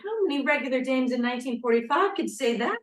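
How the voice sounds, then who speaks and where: A woman's voice: sits high, expressive, quick pace. A woman playing a sassy, wisecracking young college student in a 1940s stage play at a table read. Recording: echoey room, distant mic.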